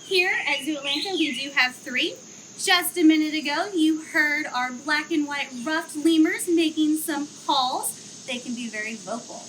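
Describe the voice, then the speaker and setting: A young woman who works at a zoo reads a children's picture book aloud outdoors, in an expressive storytelling manner. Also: high voice, slight background noise, rough recording. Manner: slow, expressive storytelling, livelier chat afterward. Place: outdoor zoo enclosure with trees.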